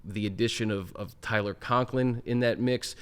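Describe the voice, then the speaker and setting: A man's voice: on the low side, fairly even intonation, normal speed, a bit bright, clean tone. A man hosting a sports podcast, steering the conversation in a conversational way and reading off stats. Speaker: podcast host. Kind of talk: casual sports podcast talk.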